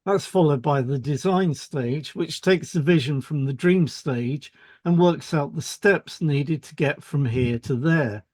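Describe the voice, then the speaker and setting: A man's voice: on the high side, a little slow, a little mellow, no echo. A man reading a scripted explanatory podcast as co-host.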